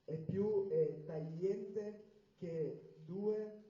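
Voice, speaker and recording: A man's voice: sits high, extremely slow. A man interpreting a sermon phrase by phrase, with occasional hesitations and restarts. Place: church hall. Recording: roomy, distant, dull church recording.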